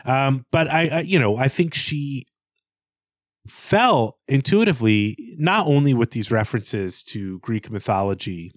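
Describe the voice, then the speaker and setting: A man's voice: mid-range voice, expressive, unhurried, no room sound, clean studio sound. A man co-hosting a podcast, discussing film in a casual, reflective and earnest way. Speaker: podcast co-host.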